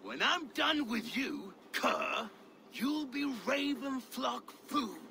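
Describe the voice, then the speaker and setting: An actor playing a boastful, scornful Danish Viking steward, gravelly and theatrical in his taunts, in a video game cutscene. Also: very high-pitched, animated, slow, sharp tone, exaggerated voice. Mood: scornful boasting. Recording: game ambience, clear background noise.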